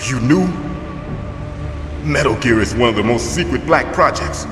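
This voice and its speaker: An actor playing a captive defense chief in a video game, shaken but authoritative, with a grave, dramatic delivery. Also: male voice, mid-range voice, animated, normal speed, close to an everyday voice. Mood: grave, confiding. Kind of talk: video game cutscene acting. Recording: echoey hall reverb with music behind.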